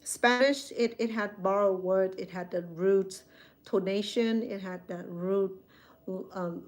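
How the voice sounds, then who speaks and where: A woman's voice: mid-range voice, fairly even intonation, slow pace. A woman, a Khmer speaker chatting casually with viewers on a live stream from her phone, rambling and correcting herself as she goes. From Cambodia. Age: 60s.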